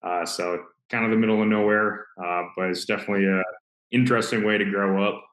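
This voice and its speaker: A young man from Maine, a death metal musician, answering interview questions in an easygoing, rambling way with frequent pauses and restarts. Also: lowish voice, steady tone, a bit bright. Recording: roomy echo.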